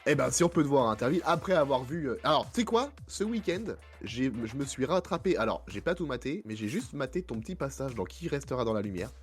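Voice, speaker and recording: Hoarse man with a cold, hosting. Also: mid-range voice, big pitch swings, fast, few pauses, faint music behind. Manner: chatty, many fillers, self-mocking. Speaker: podcast host. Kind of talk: casual podcast chat.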